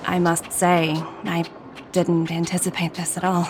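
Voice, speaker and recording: A woman playing a poised, candid corporate executive in a video game cutscene, articulate, measured and businesslike. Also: fairly low pitch, completely flat delivery. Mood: sincere, regretful. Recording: music behind the voice.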